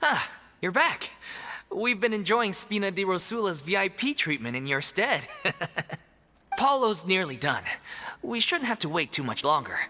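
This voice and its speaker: A man's voice: high voice, very animated, measured pace, bright, forceful tone, a little hiss or hum, full character voice. A man voicing an easygoing, good-humoured stage actor with a jovial manner in an anime game. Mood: cheerful. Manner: jovial, unbothered. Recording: faint music behind the voice.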